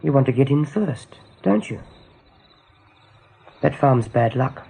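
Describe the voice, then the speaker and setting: A nervy young rabbit prophet in a radio play, very raspy n creaky, pleading. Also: male voice, mid-range voice, expressive, slow pace, warm tone, exaggerated voice. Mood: anxious, accusing. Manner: urgent, hesitant, pleading. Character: anxious, foreboding young male rabbit seer. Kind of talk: radio drama acting.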